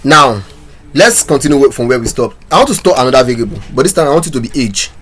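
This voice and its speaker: A man's voice: mid-range voice, sing-song, fast talker, fairly bright tone, breathy edge. A man teaching a coding lesson, talking through his code step by step and asking viewers questions. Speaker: programming instructor. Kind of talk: screen-recorded coding tutorial.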